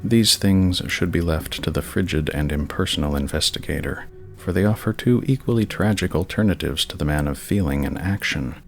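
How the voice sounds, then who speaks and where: Very deep, gravelly male horror narrator. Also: moderate pace, hardly any pauses, soft background music. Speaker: narrator, channel host. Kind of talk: slightly dramatic horror story reading.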